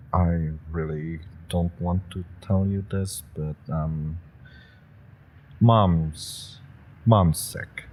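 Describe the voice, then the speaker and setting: A man's voice: sits low, a little mellow. A man playing an eager, awkward young male super-powered rookie, rambling with nervous laughs and many fillers. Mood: hurt. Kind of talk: audio roleplay acting.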